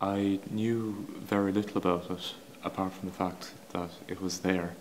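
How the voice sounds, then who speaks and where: A man's voice: sits low, level tone, moderate pace, slight background noise. A young man answering interview questions in a dry, understated way.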